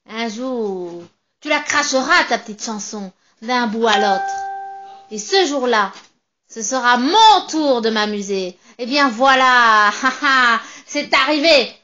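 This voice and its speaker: A woman voicing a small, nasty old witch with a shrill, sour voice and a sneering, mocking manner. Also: expressive, measured pace, sharp tone, muffled recording, exaggerated voice. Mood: gloating. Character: nasty old female witch in a broom cupboard.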